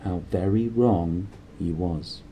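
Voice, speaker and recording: A man narrating a children's storybook aloud in an expressive style. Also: sits low, slow, slight background noise. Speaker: storybook reader.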